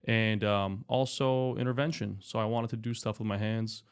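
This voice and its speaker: A man in his thirties, a doctor and podcast guest, explaining his work in a relaxed, chatty way with many fillers. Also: on the low side, normal speed, clean tone. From Spain, raised in California. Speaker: anesthesiologist guest. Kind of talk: casual interview talk.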